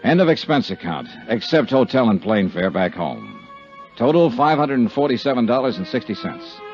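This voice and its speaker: A hard-boiled American investigator in an old radio drama. His mid-pitched voice is animated, with terse, dramatic storytelling and a tough, weary edge. The recording is dull vintage radio with music underneath. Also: normal speed. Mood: weary, somber. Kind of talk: 1950s radio drama narration and acting.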